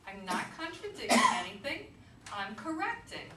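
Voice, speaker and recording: A woman's voice: a little high, very animated, normal speed. A woman playing a self-righteous, unyielding wife and mother, firm, curt and insistent, in a staged play reading. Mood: indignant. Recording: echoey, noisy room, distant microphone.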